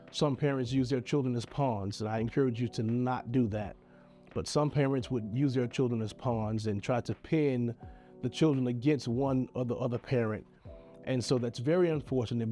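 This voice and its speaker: A man's voice: medium pitch, warm tone. A man, congested and nasal from a sinus infection, speaking earnestly to camera with a heartfelt plea to parents. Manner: earnest, pleading, measured. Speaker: video creator giving advice. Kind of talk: earnest direct-to-camera message.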